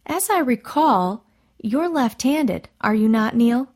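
A woman's voice: a little high, lively intonation, unhurried, darkish tone, pitched above the performer's own voice. Lady reading as a no-nonsense medic woman, curt, chiding, audiobook character. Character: brisk, curt female medical practitioner, firm and scolding.

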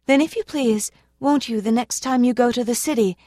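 A woman voicing a sweet, earnest little girl who speaks in a soft, pleading way. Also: fairly high pitch, normal speed, no room sound, studio quality, voice pushed up, lightly acted.

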